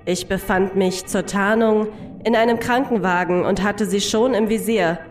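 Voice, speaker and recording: A woman's voice: medium pitch, fairly even intonation, moderate pace, faint music behind, natural voice. A woman playing a fierce, hot-tempered undercover agent in a German audio drama. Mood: tense, reporting.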